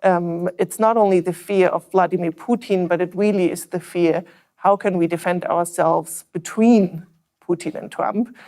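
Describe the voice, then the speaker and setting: A woman with a German accent giving analytical remarks on a panel, earnest and measured, with some fillers and restarts. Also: on the low side, fairly even intonation, warm tone.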